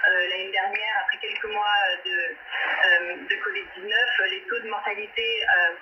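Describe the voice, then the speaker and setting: Articulate woman guest through loud shortwave static. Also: medium pitch. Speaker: interview guest, human rights researcher. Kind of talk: spontaneous explanatory interview answers. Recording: noisy muffled shortwave radio reception. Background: strong radio static.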